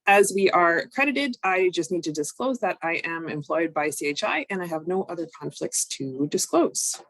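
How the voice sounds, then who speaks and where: A woman, recovering from a cold, presenting an online training session in a measured, explanatory way with frequent ums. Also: on the low side, lively intonation, fairly bright tone, no room sound, poor audio. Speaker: workshop presenter.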